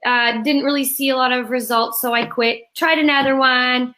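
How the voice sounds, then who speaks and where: Cheerful thirties woman from Canada on a video call, high bright voice, slightly hoarse. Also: normal speed. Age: 30s. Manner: energetic, cheerful storytelling. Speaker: guest. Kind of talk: live video call interview.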